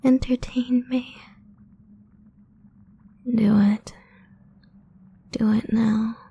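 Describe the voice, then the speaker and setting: A woman playing a seductive, domineering ancient vampire queen in an intimate ASMR roleplay, mostly in a breathy, husky whisper, slow, teasing and commanding. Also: fairly high pitch, fairly even intonation, dark tone, heavily acted.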